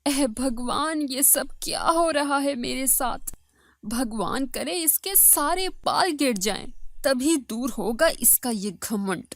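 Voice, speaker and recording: A woman's voice: high-pitched, animated, slow pace, exaggerated voice. A woman voicing a jealous, wounded first wife in an emotional, protesting way for a dramatized story. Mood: aggrieved.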